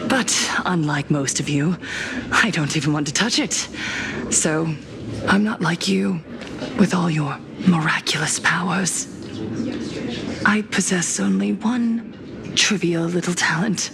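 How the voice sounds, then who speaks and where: An actress playing a traumatised young woman turned eerie media mogul in a video game, with a slow, breathy, theatrical delivery. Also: on the low side, crowd noise. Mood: calm, knowing. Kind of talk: scripted video game voice acting. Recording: loud music behind.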